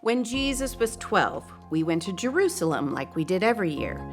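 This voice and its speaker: A woman's voice: mid-range voice, big pitch swings, normal speed, natural voice. A woman playing a mother recounting a family journey in a dramatized Bible story. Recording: prominent music under the voice.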